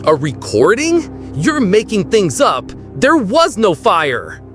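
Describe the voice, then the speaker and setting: A man's voice: high voice, huge pitch swings, takes it slowly, a bit bright, heavily acted. A man playing a dismissive, secretly sinister character in a radio horror drama. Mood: scornful. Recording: eerie music underneath.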